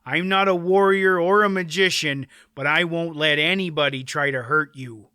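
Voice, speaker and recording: A man's voice: fairly high pitch, moderate pace, pushed and bright, clean tone, no echo, studio quality. A man voicing a stubborn, plain-spoken blacksmith who talks bluntly and earnestly. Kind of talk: audiobook character voice.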